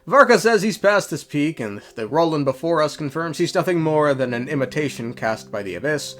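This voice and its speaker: Lively, higher-pitched man recapping a story. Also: brisk. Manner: irreverent, slangy, tongue-in-cheek. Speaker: video creator recapping game lore. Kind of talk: scripted narration, story recap.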